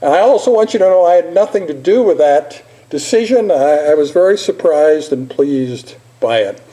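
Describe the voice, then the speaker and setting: An older man giving an informal presentation as a lecturer, halting at times with repeated words and pauses. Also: on the high side, animated, mellow. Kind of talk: informal slide presentation with poetry recitation.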